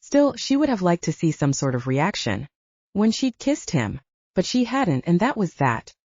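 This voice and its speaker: A woman narrates an audiobook in a fairly low, mellow voice with animated, swooping intonation. The recording is dry and a little dull. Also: normal speed, dry recording. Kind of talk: scripted fiction narration.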